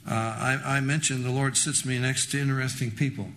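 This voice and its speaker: An American man giving a prophecy sermon as a guest preacher, speaking in short statements and pausing for an interpreter. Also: medium pitch, steady tone, a bit bright, slight background noise. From United States. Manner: pauses after each short statement for the interpreter.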